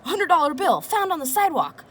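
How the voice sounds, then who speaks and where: Woman reading a children's book aloud, lively intonation. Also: very high voice, a little fast. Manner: engaging young listeners with questions and commentary. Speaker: read-aloud host. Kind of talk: reading a children's chapter book aloud with chatty asides to listeners.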